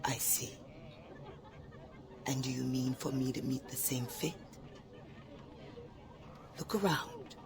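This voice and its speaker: An actress playing a shrewd, guarded brothel madam who warns with quiet threat. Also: female voice, extremely low pitch, steady tone, takes it slowly, pauses often, exaggerated voice.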